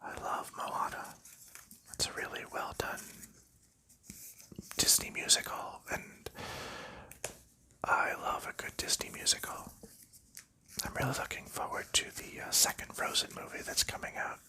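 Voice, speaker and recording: A man, host of an ASMR channel, softly whispering in a rambling, casual way while sleeving board game cards. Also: measured pace, long pauses. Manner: soft rambling whisper with light laughs and fillers.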